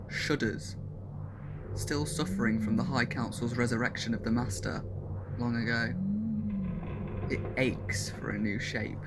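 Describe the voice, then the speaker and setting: Slow, grave male narrator over noisy ambience. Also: medium pitch. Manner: slow, measured, building to loud intensity. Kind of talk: dramatic audiobook narration.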